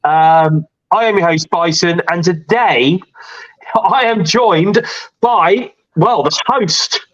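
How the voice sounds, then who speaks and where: A man's voice: high voice, takes it slowly, a bit bright, dull audio. A man hosting a casual wrestling podcast, congested with a cold and chatting in a rambling way with lots of fillers. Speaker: podcast host.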